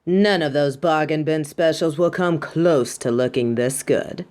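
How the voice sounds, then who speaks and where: A woman's voice: low-pitched, measured pace, darkish tone. A woman playing a proud, exacting yet fond superhero costume designer in a scripted roleplay, speaking in a grandiose, dramatic way. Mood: resigned, fond. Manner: grandiose, dramatic, addresses listener as darling. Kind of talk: scripted roleplay audio monologue.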